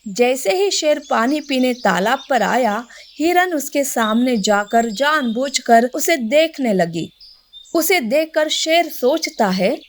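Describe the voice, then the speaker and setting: A woman's voice: high-pitched, animated, slow pace, a little hiss or hum. A woman narrating a scripted children's story.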